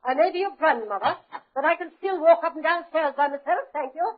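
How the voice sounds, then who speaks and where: Actress as an imperious old British dowager duchess, muffled. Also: female voice, high voice, fairly quick, lightly acted. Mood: commanding, indignant. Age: older. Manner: haughty, brusque, cuts people off. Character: imperious, cantankerous old dowager duchess, snuff-loving and brazen. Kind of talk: 1940s radio acting. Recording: muffled old radio recording.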